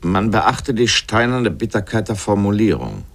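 A man's voice: fairly low pitch, sing-song, a little slow, vocal fry, exaggerated voice. A man playing an erudite, polemical literary essayist in a scripted radio essay, declamatory and pompously ironic. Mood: ironic, emphatic.

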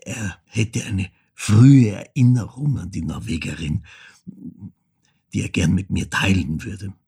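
Casting: a reflective older Austrian man, very husky, halting delivery, for a dramatic monologue. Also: low voice, exaggerated voice. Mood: hushed remembering. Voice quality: gravelly, husky. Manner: halting, deliberate, hushed, broken phrasing. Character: reflective ageing man recounting his wartime childhood. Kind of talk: literary monologue, dramatic storytelling.

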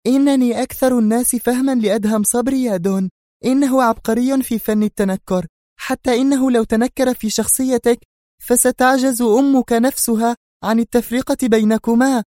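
A man voicing a nervous, sharp-tongued woman spy in an audiobook, speaking in a high, strained and urgent voice. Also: fairly even intonation, fairly quick, no room sound, studio quality. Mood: agitated. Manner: urgent, agitated. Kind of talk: audiobook character reading.

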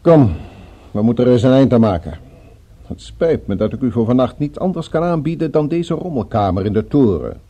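A cultured, dangerous gentleman villain of radio drama, acted by a man. His voice is very soft-edged and dark, slightly husky, with musical, lively intonation. He speaks formally and threatens with perfect politeness. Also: medium pitch, brisk, natural voice. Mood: polite, musing threat. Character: courteous, menacing male villain with a revolver, cultured.

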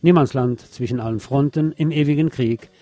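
A man's voice: mid-range voice, moderate pace, dark tone, no room sound. A man presenting a scripted monologue as host of a radio show.

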